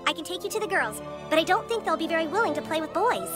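A woman's voice: extremely high pitch, animated, fast, loud music behind the voice. A woman voicing a bossy, gossipy young schoolgirl in a cartoon game, earnest and catty. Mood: matter-of-fact. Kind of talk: cartoon voice acting.

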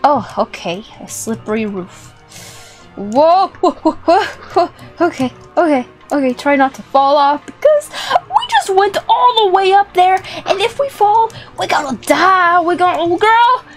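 A woman's voice: very high voice, big pitch swings, unhurried. A young woman hosting a playful gameplay video in an exclamatory, giggly manner, often repeating phrases. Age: young adult. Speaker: gaming video host. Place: small indoor room with plush toys. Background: music.

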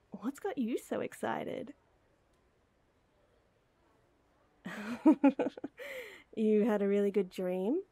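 Woman acting a flustered young woman with a crush, teasy and hesitant, slow intimate roleplay, soft mellow voice. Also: on the high side, creaky, dry recording, full character voice. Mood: flustered, teasing. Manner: hesitant, flustered, teasing, giggly. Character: flustered, lovestruck young woman teasing her friend. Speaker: audio roleplay voice actor.

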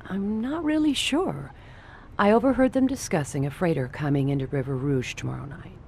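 Low-voiced, coy torch singer. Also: female voice, expressive, normal speed, dark tone, slight background noise. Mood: earnest, uneasy. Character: lovestruck 1940s nightclub torch singer, coy and trusting. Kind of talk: old-time radio noir drama acting.

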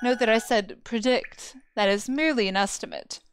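A woman's voice: fairly high pitch, normal speed, a little hiss or hum, close to an everyday voice. A woman playing a proud, obsessive dead homunculus researcher in a video game, with a formal, theatrical, lecturing manner. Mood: cool, lecturing. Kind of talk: scripted video game voice acting. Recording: music behind the voice.

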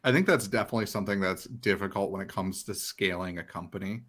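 Male podcast host, thirties, somewhat low voice, relaxed conversational delivery. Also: fast. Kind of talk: casual chat. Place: small home room, indoors. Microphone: headset on a video call.

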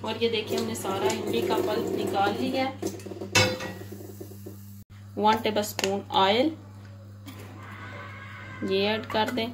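A woman of medium pitch with a Pakistani Urdu accent talks viewers through a recipe in her home kitchen, calm and patient as she points out each step. Dishes and pans clatter clearly behind her voice. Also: takes it slowly, long pauses, very noisy. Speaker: home cooking channel host. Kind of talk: step-by-step cooking instructions.

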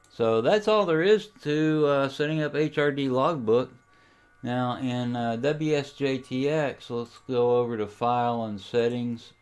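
Low, smooth-voiced man giving a tutorial. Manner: casual, step-by-step explaining. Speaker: amateur radio tutorial host. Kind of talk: informal screen-share software tutorial.

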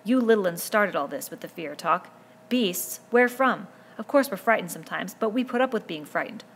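A woman's voice: medium pitch, lively intonation, moderate pace, slight background noise, voice pushed up, close to an everyday voice. A woman reading a novel aloud, voicing a scornful, bullying boy who leads the hunters and sneers at the younger ones. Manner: sneering, dismissive.

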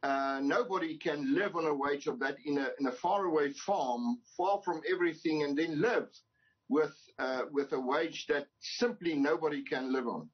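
A man's voice: fairly high pitch, moderate pace, slightly rough. An older man from an employers' association, speaking emphatically with many restarts in a news interview over a video call from his home study. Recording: dull lo-fi video call audio.

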